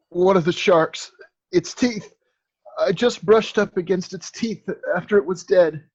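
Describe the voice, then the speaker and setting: A man playing a muffled, husky wounded man. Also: high voice, slow, a little mellow, full character voice. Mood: weak, pained. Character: wounded, feverish, weary man. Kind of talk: dramatic script table read.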